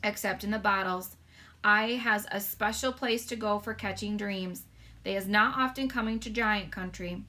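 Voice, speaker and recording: Storybook giant, male character woman performing: sincere, touchy, folksy phrasing, forceful bright tone. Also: medium pitch, normal speed, natural voice. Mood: matter-of-fact. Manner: folksy muddled grammar, emphatic telling. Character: earnest, touchy giant man with muddled grammar. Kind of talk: storybook read-aloud character voice.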